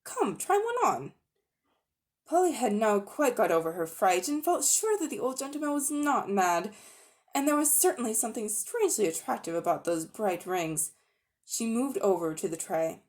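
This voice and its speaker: A woman narrates a children's storybook with lively expression. Her pitch moves a great deal, rising and falling to build tension. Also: normal speed. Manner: expressive, animated. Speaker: reader. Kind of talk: dramatic storybook reading.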